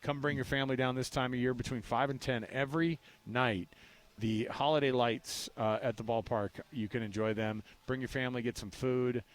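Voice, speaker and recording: A man's voice: medium pitch, fairly even intonation, moderate pace. An American man hosting sports talk radio in an informal, conversational way. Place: outdoors at a ballpark.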